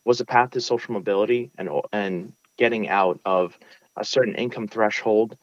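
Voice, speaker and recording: A man's voice: on the low side, steady tone, moderate pace, dry recording, lo-fi sound. A young man from Brooklyn, earnestly answering interview questions with many restarts and fillers. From United States (Brooklyn). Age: young adult. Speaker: interview guest, valedictorian. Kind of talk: casual interview.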